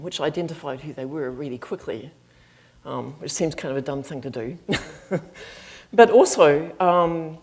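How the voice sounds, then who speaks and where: A woman's voice: low voice, warm tone. A middle-aged woman with a New Zealand accent giving a chatty, self-deprecating lecture to an audience, with frequent ums. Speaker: guest speaker, flute professor. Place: campus auditorium.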